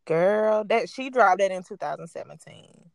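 Woman, podcast co-host, relaxed slangy chat, dry close sound. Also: medium pitch, moderate pace, vocal fry, no echo. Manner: laid-back, slangy, laughs often. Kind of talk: casual chat.

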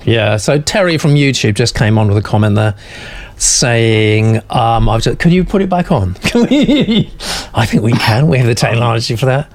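A man's voice: medium pitch, animated, moderate pace, no room sound. A man hosting a panel discussion of a writing sample, chatty and affirming, reading out viewer comments. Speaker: host of a writing panel show.

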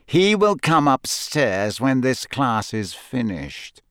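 A man's voice: mid-range voice, sing-song, drawn-out words, dry recording, studio quality, exaggerated voice. A man voicing a cold, menacing male potions teacher with a silky, curt, sneering delivery, as in an audiobook.

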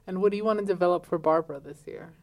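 A woman's voice: lowish voice, very fast, darkish tone. A woman in her late twenties hosting a casual, conversational interview. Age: late 20s. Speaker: interviewer, host.

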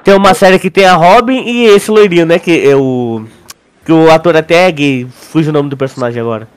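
Young Brazilian male co-host, higher-pitched voice, informal and halting, slangy casual chat. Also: smooth. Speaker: podcast co-host.

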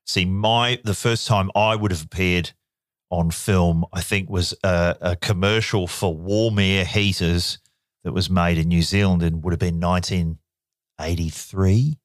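A man's voice: sits low, steady tone, unhurried, no echo, clean studio sound. A man co-hosting a podcast, steering the talk with questions in casual chat. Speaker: podcast co-host.

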